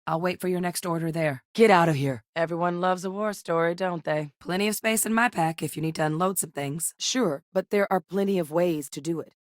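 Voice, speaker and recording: An actress voicing a level-headed woman scientist and field veteran in a video game, with a measured, matter-of-fact delivery. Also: fairly low pitch, dry recording, studio quality, natural voice. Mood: steady. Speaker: video game companion character. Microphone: studio microphone.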